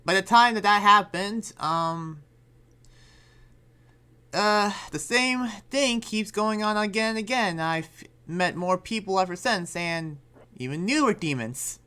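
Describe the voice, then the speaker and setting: Man acting a bright-voiced, earnest young demon slayer. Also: sits high, lively intonation, takes it slowly, smooth, heavily acted. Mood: earnest explaining. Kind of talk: improvised roleplay acting in casual speech.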